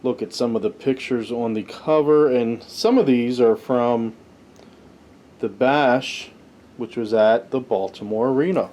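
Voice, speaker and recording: A man's voice: mid-range voice, unhurried, warm tone. A man hosting a podcast with casual, offhand, unscripted commentary. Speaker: podcast host, wrestling fan reviewer.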